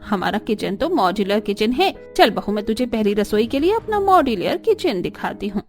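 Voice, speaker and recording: A woman's voice: medium pitch, very animated, normal speed, faint music behind. A woman playing a rich, snobbish middle-aged mother-in-law in a cartoon story, boastful and haughty. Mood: disdainful. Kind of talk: cartoon story dialogue acting.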